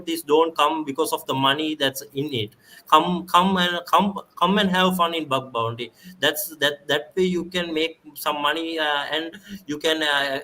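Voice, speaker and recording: A young man from Sri Lanka whose first language is Sinhala answers questions on a live video call. He talks haltingly, stopping often for fillers and restarts. His voice is bright and forward, with a faint layer of background noise. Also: mid-range voice, poor audio. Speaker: guest speaker. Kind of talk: live-stream interview.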